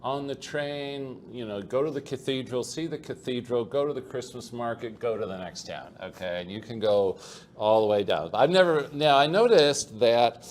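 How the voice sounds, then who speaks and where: A man's voice: medium pitch, normal speed, darkish tone. A middle-aged man hosting an interview, chatty and repetitive, often tagging "okay?" onto his sentences. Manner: chatty, repeats words, adds tag questions like "okay?". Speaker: podcast host. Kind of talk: conversational interview. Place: conference venue. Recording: faint background noise at a conference.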